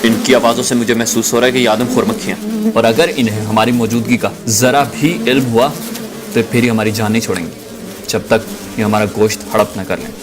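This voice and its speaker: A man's voice: medium pitch, fairly even intonation, fairly quick, background noise, close to an everyday voice. A man playing a cautious, bossy young male university student in an amateur audio drama, with an emphatic, dramatic delivery. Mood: urgent warning.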